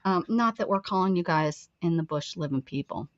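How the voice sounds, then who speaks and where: A woman's voice: on the low side, lively intonation, normal speed, no room sound. A chatty woman with a mixed northern and Southern accent rambles through a casual livestream and laughs often. Her voice swings up and down a lot. It sounds dry and a bit dull. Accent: mixed Pittsburgh and Texas. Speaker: craft channel host.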